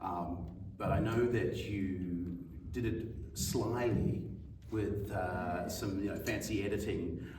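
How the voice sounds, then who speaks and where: A man's voice: low voice, steady tone, takes it slowly, a little mellow. A man hosting a live festival Q&A on a cinema stage, in a loose, chatty manner full of fillers. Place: cinema stage before an audience. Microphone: faulty handheld mic. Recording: echoey venue, distant mic, background noise.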